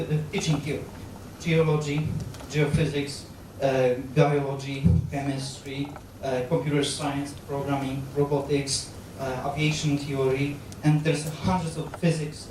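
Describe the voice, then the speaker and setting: Man with Polish accent, thirties, lecturing on stage, fairly high voice, booming hall echo, distant mic. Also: background noise. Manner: halting, with frequent fillers, restarts and gestures. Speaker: guest lecturer on astronaut training. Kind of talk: public lecture. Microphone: handheld microphone. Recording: very echoey hall, captured from far off.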